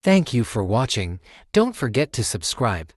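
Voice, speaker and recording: A man's voice: medium pitch, big pitch swings, unhurried, no room sound, clean studio sound. A man hosting a storytime channel, reading a children's picture book aloud as narrator.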